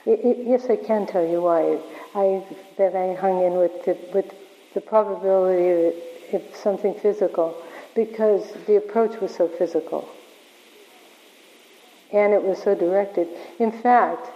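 A woman leading a workshop, answering questions in a halting way with many restarts, her voice wearing thin. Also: medium pitch. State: losing her voice. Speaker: workshop leader. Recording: echoey hall, dull lo-fi sound.

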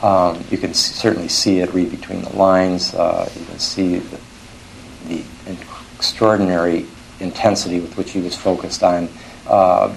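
An older man from Switzerland giving an academic lecture in a scholarly, halting way, often correcting himself mid-phrase. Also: deep voice, creaky, slight background noise, poor audio.

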